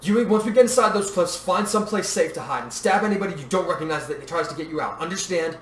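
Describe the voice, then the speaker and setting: A man's voice: high-pitched, fast talker, pitched above the performer's own voice, natural voice. A man voicing an earnest, protective young warrior in a story, plain-spoken and determined. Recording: roomy echo, distant mic, faint noise.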